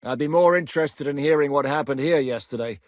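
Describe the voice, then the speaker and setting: An actor playing a soldier who lays out battle plans in a video game, measured and matter-of-fact. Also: male voice, on the high side, no echo, raised voice, studio quality, close to an everyday voice. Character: calm scheming male soldier planner. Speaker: military planner in a video game. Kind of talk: game voice lines.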